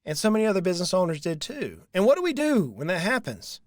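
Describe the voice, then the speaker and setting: A man in his thirties talks through money advice, pitched fairly high for a man. He speaks earnestly and leans on repeated phrases for emphasis. His voice sits close on a studio mic with a dry, dead sound. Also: wildly swooping pitch, fast talker, no room sound. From Southern United States. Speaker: financial advisor hosting a show. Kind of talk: solo talk to camera. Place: small room with dark curtains. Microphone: large studio mic in front, headphones.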